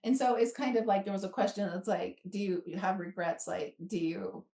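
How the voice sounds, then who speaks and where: A woman's voice: mid-range voice, normal speed, creaky, mellow. A woman in her fifties telling personal stories in a casual, conversational way, acting out quoted asides as a guest. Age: 50s. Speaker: guest author. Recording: roomy echo, distant from microphone.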